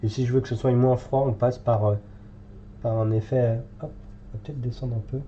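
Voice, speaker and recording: A man's voice: mid-range voice, level tone, moderate pace, warm tone, muffled recording. Stuffy french guy w a cold painting minis live, lots of umms, faint hiss behind. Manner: hesitant and chatty, many fillers, thinking aloud while painting. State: has a cold, congested. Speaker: miniature painting tutor.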